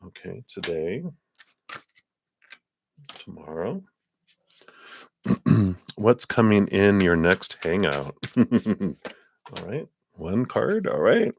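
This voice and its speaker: A man's voice: low-pitched, very animated, drawn-out words, vocal fry, pauses often, a little hiss or hum. A man hosting a live tarot reading stream, chatting in a rambling, casual way and laughing often, with a tickle in his throat. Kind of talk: casual livestream chat and card reading.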